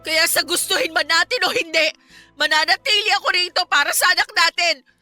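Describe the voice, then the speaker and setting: A woman's voice: very high voice, a little fast, bright, forceful tone, soft background music, exaggerated voice. A woman playing a hurt, proud young wife and mother in a Filipino radio drama, emotional and pleading with indignation. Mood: tearful resolve.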